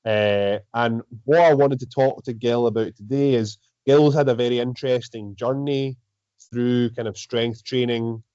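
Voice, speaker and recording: A Scottish man hosting a casual interview, feeling his way haltingly through long, rephrased sentences. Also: medium pitch, steady tone, dark tone, no echo, lo-fi sound. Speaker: host, strength coach.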